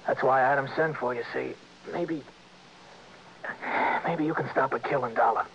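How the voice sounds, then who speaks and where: An actor playing a dying American safecracker in his early thirties in a radio drama, speaking in a halting, weary, uneducated tough-guy way. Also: medium pitch, fairly bright tone, dull audio, slight background noise, natural voice. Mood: bitter, weary. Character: dying early-thirties convict safecracker, bitter but grateful. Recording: band-limited vintage radio recording with music.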